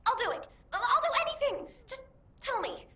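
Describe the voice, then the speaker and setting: A woman voicing an excitable, mischievous young soldier in a video game, brash, flippant and theatrical. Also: extremely high pitch, fairly quick, exaggerated voice. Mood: desperate eagerness. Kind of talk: animated video game voice acting.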